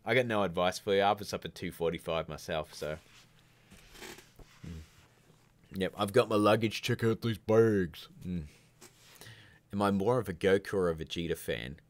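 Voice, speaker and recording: A man's voice: low-pitched, normal speed, pauses often. A man hosting a live stream, chatting casually and rambling through questions with candid opinions and jokey asides. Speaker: livestream host of a filmmaking show.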